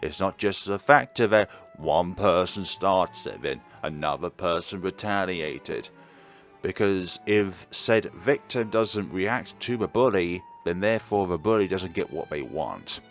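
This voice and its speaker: A man's voice: sits low, expressive, a little slow. A man giving casual, chatty game commentary, reacting expressively as a let's play host. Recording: background game music.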